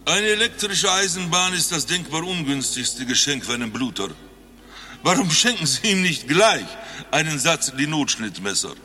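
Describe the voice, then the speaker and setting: An actor playing an eccentric doctor who claims to be Rasputin reborn, telling his tale in a deadpan, grandiose way in a satirical radio play. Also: a little high, normal speed. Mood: brazen, reproachful. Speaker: doctor recounting his past life.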